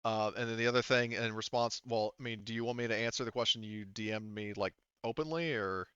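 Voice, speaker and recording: A man's voice: lowish voice, brisk, a bit bright, smooth, dry recording. A man improvising tabletop game narration as game master, speaking casually in rambling, looping phrases over a muffled connection. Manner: rambling, repeats "like" and "you know".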